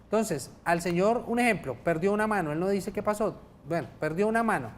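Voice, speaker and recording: A man's voice: sits high, brisk, a little hiss or hum. A man with a Colombian accent, a lawyer guest on a TV studio show, explaining pension cases patiently and checking in with his listeners.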